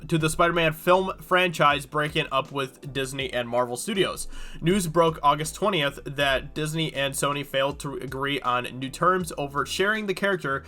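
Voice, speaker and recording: A man's voice: fairly high pitch, moderate pace, sharp tone. A man with a cold and a congested voice, talking casually and rambling with many fillers as he reads through and comments on a news article. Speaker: YouTube host.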